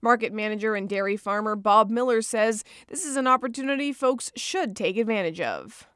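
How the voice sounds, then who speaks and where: A woman's voice: a little high, lively intonation, a bit bright, clean tone. A young woman reporting a TV feature from an outdoor farmers market, bubbly and upbeat. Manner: bubbly, upbeat, quick reactions. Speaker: field reporter.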